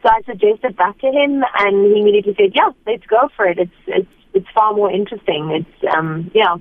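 A young South African woman, an actress, chatting casually and laughing easily in an interview over a phone line. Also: mid-range voice. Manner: chatty, laughs often, quick asides. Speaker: actress guest.

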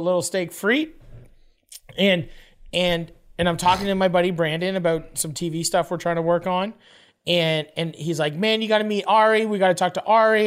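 A young man co-hosting a podcast, telling a long rambling story in casual chat, repeating words and jumping to quoted voices. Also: high voice. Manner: animated, rambling, repeats words and restarts, swears. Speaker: podcast co-host.

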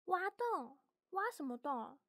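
A woman's voice: high voice, very animated, takes it slowly, fairly bright tone, no echo, clean studio sound, heavily acted. A woman voicing a small, naive young mouse with a childlike, innocent and emotional way of talking in a children's audio story. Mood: curious, lost.

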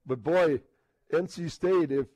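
A man hosting a sports talk radio show in an effusive, halting, unscripted way, repeating phrases for emphasis. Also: on the high side, dark tone, no echo, low-quality recording.

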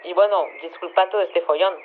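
Radio drama: apologetic female scientist, casual and deadpan, heard through a thin telephone line. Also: fairly low pitch, a little fast, a little hiss or hum. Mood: matter-of-fact. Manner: casual, hesitant, deadpan explaining. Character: apologetic, matter-of-fact woman scientist calling a radio show. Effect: phone line filter. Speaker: interviewed virology expert. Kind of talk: naturalistic audio fiction radio interview. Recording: tinny narrow phone line.

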